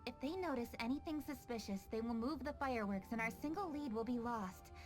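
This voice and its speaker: A woman's voice: a little high, a little fast, soft background music, lightly acted. A woman playing a young, composed, determined official in a video game, speaking in a formal, commanding way. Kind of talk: animated video game acting.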